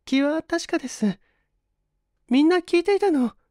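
Man voicing faint young woman, very high-pitched. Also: expressive, a little slow, warm tone, dry recording, clean studio sound, exaggerated voice. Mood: faint, composed. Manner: weak, reassuring. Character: faint, hungry young woman, quietly composed.

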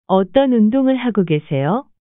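A woman reading short prompts for a language lesson at a quick pace. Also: medium pitch, lively intonation, no room sound. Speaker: Korean prompt reader. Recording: tinny, phone-like band.

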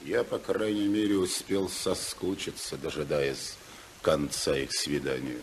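An actor playing an evasive, insinuating informer with a hesitant, sly manner, in 1950s radio drama style. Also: male voice, a bass voice, steady tone, unhurried, husky, exaggerated voice. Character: evasive, insinuating male informer, falsely reluctant.

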